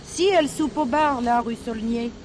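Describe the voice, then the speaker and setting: Woman playing a brusque, high-voiced showgirl. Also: normal speed, darkish tone, close to an everyday voice, poor audio. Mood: puzzled, offhand. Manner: curt, slangy. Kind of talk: filmed stage play acting. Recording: hissy 1970s theatre recording.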